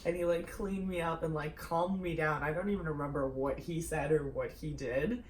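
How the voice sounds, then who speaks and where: A young woman from Russia, a native Russian speaker, chatting casually with a lowish voice. She rambles, giggles and swears as she tells her stories. The recording is roomy and distant, with a faint hiss behind her. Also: normal speed. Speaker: podcast host. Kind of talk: casual chat. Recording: echoey room, distant mic, faint noise.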